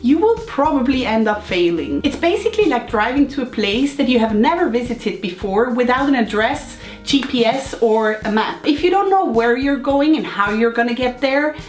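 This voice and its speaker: A woman in her thirties, a bit hoarse from a cold, giving an upbeat, encouraging motivational talk to her viewers. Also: on the high side, lively intonation, moderate pace, hardly any pauses, echoey room, faint music behind. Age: 30s. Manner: upbeat, encouraging, chatty asides. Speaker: lifestyle vlogger. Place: home room.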